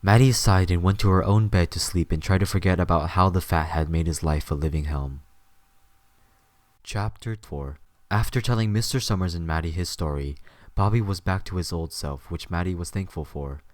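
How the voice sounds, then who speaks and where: A man's voice: deep voice, moderate pace, a little mellow, no echo. A man reading scripted story narration as the narrator of an audio drama.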